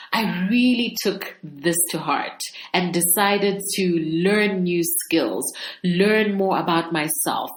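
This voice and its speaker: A woman hosting a solo podcast, talking to listeners in a conversational, expressive way. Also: fairly low pitch. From Zambia. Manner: conversational, expressive, laughs often. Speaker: podcast host. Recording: echoey room, faint background noise.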